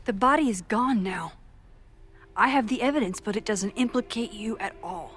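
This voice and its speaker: An earnest young woman played by a game actress, determined and inward-looking as she works out a mystery. Her medium-pitched voice carries a long reverb, with music underneath. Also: moderate pace, a bit bright, natural voice. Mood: firm, pressing. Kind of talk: video game voice acting.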